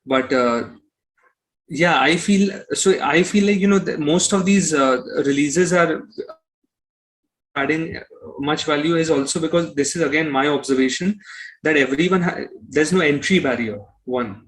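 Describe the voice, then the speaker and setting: A man's voice: a little high, a little slow, low-quality recording. A man speaking as an interview guest, blunt and opinionated, often repeating himself. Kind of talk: conversational interview.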